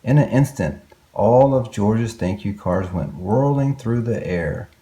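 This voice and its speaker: A man's voice: fairly low pitch, animated, measured pace, mellow. A man reading a children's story aloud expressively, with exclamations and wondering asides to young listeners.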